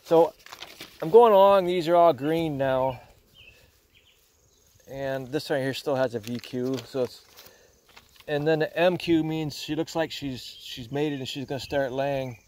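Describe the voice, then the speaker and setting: A man's voice: a little high, unhurried, long pauses, a little mellow. An older man beekeeper chatting casually outdoors while working his hives, rambling with frequent restarts. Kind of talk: casual hands-on demonstration. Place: outdoors among beehives in a yard.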